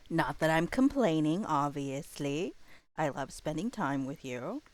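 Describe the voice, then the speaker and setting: A woman livestreamer chatting casually while gaming, with a somewhat hesitant, halting delivery. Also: low voice, lively intonation, a little mellow, dry recording.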